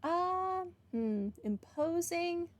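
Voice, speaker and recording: A woman's voice: extremely high pitch, animated, extremely slow. A woman giving casual, wry, reactive playthrough commentary as a gaming streamer, with game music behind her.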